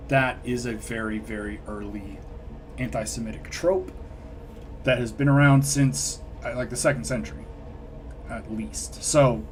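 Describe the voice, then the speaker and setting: A man on a casual livestream, thinking aloud at an unhurried pace. He trails off and restarts his sentences often. Music plays clearly in the background. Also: medium pitch. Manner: rambling, hesitant, many restarts. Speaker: streamer. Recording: music playing behind.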